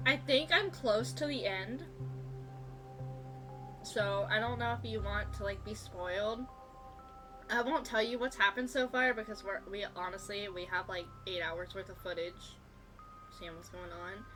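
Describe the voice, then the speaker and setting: A woman's voice: mid-range voice, measured pace. A woman livestreamer chatting casually and informally with viewers during a gaming stream. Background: game music.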